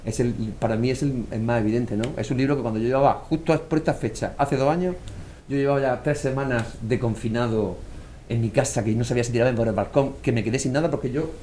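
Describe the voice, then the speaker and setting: A man's voice: medium pitch, quick pace, a little hiss or hum. A man with a Murcian Spanish accent, an author guest telling stories in casual conversation and acting out the voices he quotes. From Murcia, Spain. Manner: animated storyteller, acts out quoted voices.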